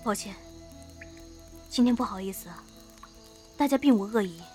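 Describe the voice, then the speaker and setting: A woman's voice: mid-range voice, normal speed, a little mellow, faint music behind, lightly acted. An actress playing a friendly, naive young village woman in a costume audio drama, with an apologetic, inquisitive manner.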